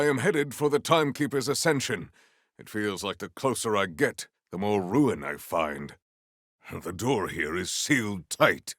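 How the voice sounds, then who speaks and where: A man playing a solemn, proud warrior hunter in a video game, speaking in formal, stilted, declamatory sentences with a gravelly low voice. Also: a bit bright, no echo, clean studio sound. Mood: bold, grandiose. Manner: formal, stilted, declamatory speech without contractions. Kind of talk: video game character acting.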